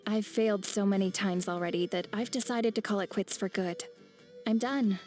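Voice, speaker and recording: A woman's voice: mid-range voice, normal speed, a little mellow, soft background music, lightly acted. A woman voicing a discouraged young rider who talks hesitantly and doubts themselves in a video game. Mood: dejected.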